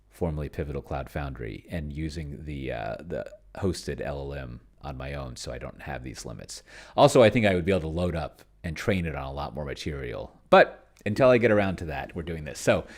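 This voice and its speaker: A man hosting a solo tabletop roleplaying session, thinking aloud casually in a halting, musing way and often trailing off mid-thought. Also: sits low.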